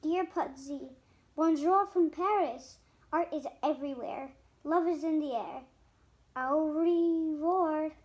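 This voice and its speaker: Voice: sits high, takes it slowly, darkish tone. A child of about seven or eight reading a picture book aloud, stumbling now and then on harder words. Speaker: young reader.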